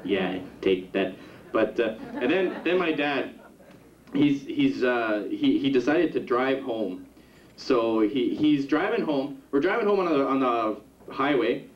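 A young man doing a stand-up comedy set in a club, with a rambling, halting delivery full of restarts. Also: medium pitch, animated, distant mic. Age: young adult. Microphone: handheld stage mic on a stand. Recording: roomy, dull 1991 camcorder tape from the audience. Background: audience laughter.

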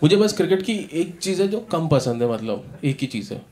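A man's voice: fairly high pitch, normal speed. Stand-up comedian man, live club crowd. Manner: animated storytelling, acting out characters with gestures. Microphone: handheld mic. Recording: live club audio with audience noise. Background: audience laughter.

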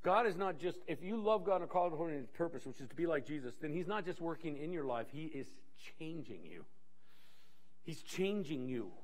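Animated high-voiced male pastor preaching. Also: brisk. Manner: animated, gesturing while pacing the stage, frequent restarts. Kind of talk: sermon. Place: church stage in a large dim hall.

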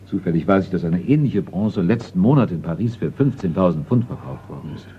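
An actor playing a polite, precise middle-aged art appraiser in a German radio crime play. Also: male voice, deep voice, lively intonation, a little fast. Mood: precise, tactful. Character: seasoned male art appraiser, deferential, precise, discreet. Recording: dull, noisy old radio recording.

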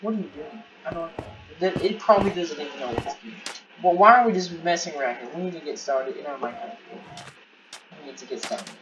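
A man giving casual gaming commentary, excitable and rambling, often repeating words and restarting his sentences. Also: on the high side, fast talker, dull audio, over music. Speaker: gameplay commentator. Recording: distant mic with noisy background.

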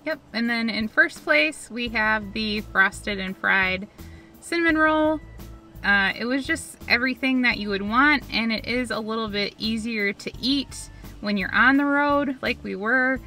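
Bright-voiced young woman in a car. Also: a little high, unhurried, soft background music. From Minnesota. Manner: giggly, upbeat, chatty. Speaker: food vlogger co-host. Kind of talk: casual food review chat. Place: parked car. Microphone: camera on dashboard.

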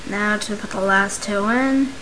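A speaker giving a casual, unscripted step-by-step software tutorial, recorded at home. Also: medium pitch, measured pace. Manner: informal, unscripted step-by-step narration. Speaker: tutorial maker. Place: indoors, home computer screen recording. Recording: hissy, clicky home microphone recording.

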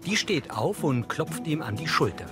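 A man's voice: fairly high pitch, big pitch swings, normal speed, over music. A man reading neutral scripted audio description for a TV drama.

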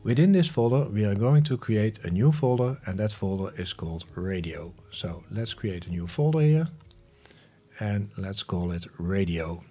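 A middle-aged man, around his fifties, presenting a scripted step-by-step software tutorial into a close studio microphone in clearly segmented, instructional phrases. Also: lowish voice, animated, moderate pace. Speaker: tutorial host. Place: home studio room, indoors. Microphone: close studio microphone on a boom arm.

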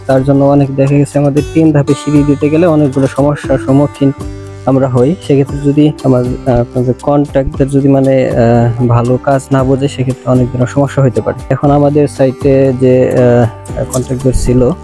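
A man's voice: medium pitch, little pitch movement, fairly quick, dark tone. A man with a Bangladeshi accent gives an informal on-site instructional explanation as an engineer, repeating himself and hesitating, with frequent filler phrases. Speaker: civil engineer presenting a how-to video. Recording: music running behind the voice.

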